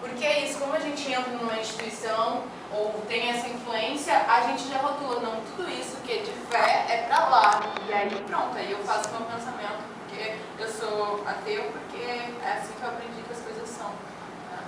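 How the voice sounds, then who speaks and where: A woman's voice: on the high side, fairly even intonation, moderate pace. A Brazilian woman talking informally in a panel discussion, thinking aloud in a hesitant way. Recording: very echoey, distant, loud room noise.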